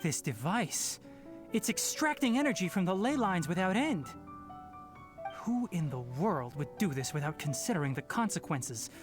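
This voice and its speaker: A man voicing a calm, serious young forest watchman in a video game, speaking in a measured, analytical, firm way. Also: high voice. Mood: grave, concerned. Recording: game music over voice.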